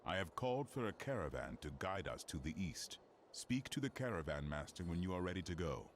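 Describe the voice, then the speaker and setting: An actor playing a solemn, noble fallen archangel of justice in a video game, speaking gravely and formally. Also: male voice, sits low, very animated, a little fast. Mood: grave, commanding. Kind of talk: dramatic video game voice acting. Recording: roomy echo, clear background noise.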